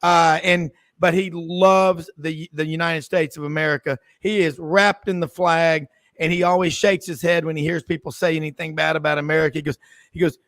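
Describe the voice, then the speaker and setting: High-voiced chatty radio host. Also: male voice, little pitch movement, moderate pace, a bit bright, no room sound, studio quality. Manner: chatty storyteller, laughs easily. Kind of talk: casual radio chat.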